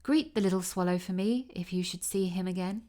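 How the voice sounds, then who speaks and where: A woman voicing a tiny, gentle storybook girl with heartfelt exclamations. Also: fairly low pitch, fairly quick, close to an everyday voice. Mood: wistful farewell.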